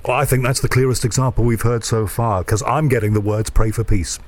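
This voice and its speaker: A man's voice: medium pitch, fairly even intonation, brisk, heavy background noise. A man hosting a radio show, asking questions with curiosity and thinking aloud as he listens. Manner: inquisitive, enthusiastic, thinks aloud. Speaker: radio host. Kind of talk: curious interview chat.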